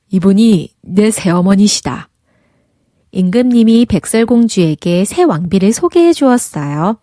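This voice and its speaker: A woman's voice: medium pitch, dark tone, dry recording, clean studio sound. A woman narrating a children's fairy tale, reading aloud expressively as a storybook narrator.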